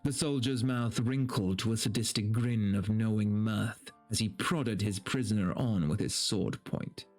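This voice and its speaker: A man's voice: lowish voice, unhurried, hardly any pauses, darkish tone, soft background music. A man doing a dramatic story reading for a stream, mock-serious and breaking into incredulous, sweary commentary.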